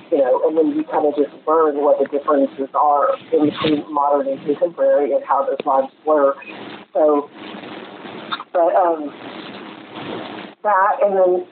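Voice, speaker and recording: A man chatting casually as a podcast co-host in a rambling, chatty way, with some casual swearing. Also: fairly high pitch. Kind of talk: casual chat. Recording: tinny phone-like line with clear noise.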